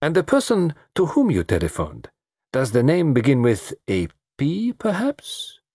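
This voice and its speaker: Courteous French-accented detective, dry close sound. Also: male voice, mid-range voice, huge pitch swings, a little mellow, slightly rough, no echo, pitched above the performer's own voice, full character voice. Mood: probing. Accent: French-speaking. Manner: courteous, measured, formally foreign phrasing. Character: gallant, shrewd foreign male detective, middle-aged, courteous.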